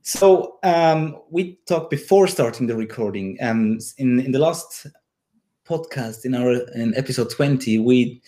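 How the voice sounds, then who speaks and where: A man's voice: mid-range voice, animated, a little slow, warm tone. A man with a German accent hosting a casual interview in his second language, hesitantly searching for words and restarting his sentences. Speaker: podcast host, dog trainer.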